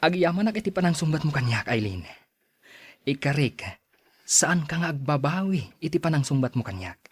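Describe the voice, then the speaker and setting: An earnest young Ilocano man, hopelessly in love, for a radio drama. His fairly high voice swings widely as he pleads, and the recording is dry and close. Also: big pitch swings, moderate pace, slightly rough, dry recording. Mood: tender. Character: lovestruck earnest young man, devoted suitor and son. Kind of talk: melodramatic radio drama acting.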